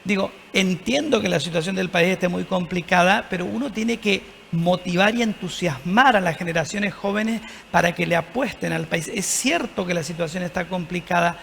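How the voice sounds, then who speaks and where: A priest with an Argentine accent talks in an earnest, preaching way. His voice sits high and has a gravelly grain. It carries a long reverberant tail from the studio. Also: moderate pace, few pauses, a bit bright, a little hiss or hum. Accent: Argentine Spanish. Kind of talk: TV panel discussion.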